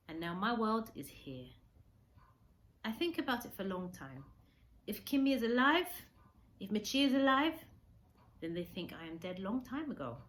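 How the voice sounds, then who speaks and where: A woman voicing a gentle, dignified old Japanese hermit in a storybook, speaking halting broken English with earnest solemnity. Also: lowish voice.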